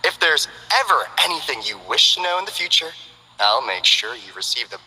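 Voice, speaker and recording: A sly, breezy info broker. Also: male voice, medium pitch, sing-song, fairly quick, bright, forceful tone, slightly rough, in a large hall, heavily acted, poor audio. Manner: breezy, teasing, salesman-like banter. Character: sly, smooth-talking male info broker, friendly. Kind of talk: game character voice lines.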